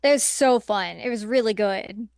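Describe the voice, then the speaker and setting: A woman's voice: mid-range voice, normal speed, darkish tone, smooth, no room sound, studio quality. A woman co-hosting a podcast, chatting informally and agreeably, peppering her talk with "like". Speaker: podcast co-host. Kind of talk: casual chat reviewing movies.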